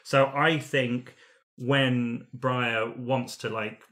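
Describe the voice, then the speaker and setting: A man's voice: mid-range voice, steady tone, extremely slow, sharp tone. A man talking casually in a group discussion as a tabletop roleplay player.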